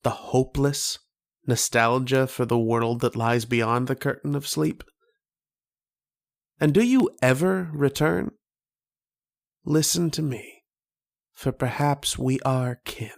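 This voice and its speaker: A man playing a haunted, wistful narrator of a recurring dream in a radio drama, speaking poetically with long pauses. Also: mid-range voice, gravelly, no echo, clean studio sound. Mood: yearning, intimate. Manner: slow, poetic, brooding delivery with long pauses. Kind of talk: dramatic first-person radio horror narration.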